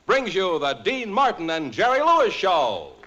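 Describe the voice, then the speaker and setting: A man's voice: sits high, huge pitch swings, moderate pace, very noisy. A man announcing a variety show in 1950s radio style on an old, dull broadcast recording.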